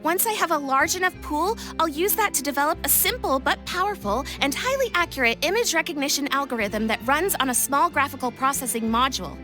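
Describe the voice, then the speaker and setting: A woman playing a confident, enthusiastic young inventor in a video game, explaining things in a bubbly, persuasive, theatrical way. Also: sits high. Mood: proud, determined. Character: Lapine Pauline, confident, enthusiastic young female tech inventor.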